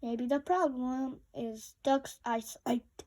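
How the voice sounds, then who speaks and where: A woman's voice: high voice, steady tone, takes it slowly, a little mellow. A woman voicing a prim, puzzled female cat schoolteacher in a children's storybook. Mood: pondering.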